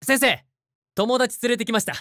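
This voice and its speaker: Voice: mid-range voice, normal speed, a bit bright, no room sound, clean studio sound, close to an everyday voice. An actor voicing a confident, terse teenage boy strategist in an anime voice drama, with self-assured delivery. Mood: cool.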